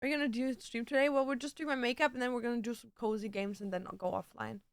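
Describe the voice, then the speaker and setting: A woman's voice: on the high side, fairly even intonation, fast, creaky, clear, resonant tone, no echo, clean studio sound. A woman livestreamer chatting casually and answering viewers, with a slangy, blunt and playfully sweary way of talking. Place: indoor home streaming setup.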